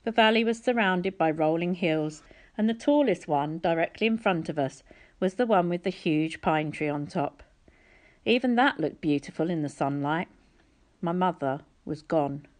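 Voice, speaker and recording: Casting: female audiobook reader, slightly low voice, narrating a storybook. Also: animated, normal speed, darkish tone. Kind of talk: storybook narration, reading aloud.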